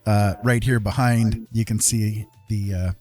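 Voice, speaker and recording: A man's voice: fairly low pitch, fairly even intonation, measured pace, a little mellow, no room sound, faint music behind. A man hosting a casual livestream, chatting in a jokey, rambling way. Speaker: livestream host and artist.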